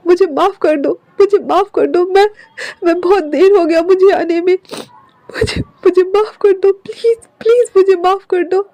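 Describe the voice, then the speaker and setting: A woman's voice: very high-pitched, moderate pace, mellow, no room sound, pitched above the performer's own voice. A woman voicing a grief-stricken young man in his twenties, desperately pleading and crying in a Hindi storytelling narration. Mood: frantic crying. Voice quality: sobbing, pleading.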